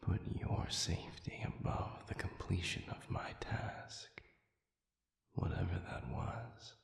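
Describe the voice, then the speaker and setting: A man voicing a formal, intimate demon in an audio roleplay, speaking in a measured, breathy, gravelly whisper. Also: sits low, fairly even intonation, roomy sound, exaggerated voice. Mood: puzzled, curious. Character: formal, sadistic male demon with lost memory, tender and curious.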